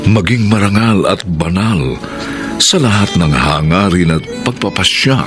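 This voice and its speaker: A man's voice: deep voice, lively intonation, measured pace. A man narrating a Filipino radio drama in a solemn, dramatic scripted style. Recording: radio narration over loud guitar music.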